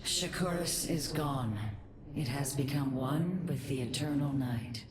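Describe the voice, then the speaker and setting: Deep-voiced, echoing alien matriarch. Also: female voice, a little slow. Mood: solemn. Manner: stately, formal. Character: proud, grave female alien matriarch. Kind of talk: dramatic video game dialogue. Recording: echoing voice with loud noise behind.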